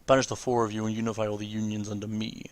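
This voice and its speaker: A man's voice: on the low side, little pitch movement, racing, no echo, voice pushed down, natural voice. A man voicing stern, haughty fantasy warriors from a game, reading their dialogue aloud in a declamatory, confrontational way. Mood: stern, challenging.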